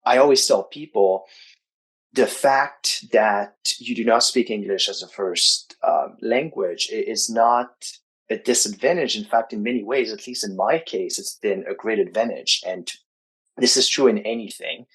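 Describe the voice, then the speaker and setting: Husky low-voiced man. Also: fairly bright tone, rough recording. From Quebec, Canada. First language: French. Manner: measured, explanatory, with restarts. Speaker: writing coach, company founder. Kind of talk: interview answers, personal story.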